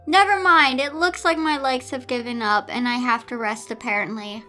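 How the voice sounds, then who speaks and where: A woman's voice: sits high, fairly even intonation, moderate pace, sharp tone, faint music behind. A woman voicing a depressed, defiant teenage daughter in a storybook reading, blunt and sardonic. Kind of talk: amateur storybook-style reading of fan fiction.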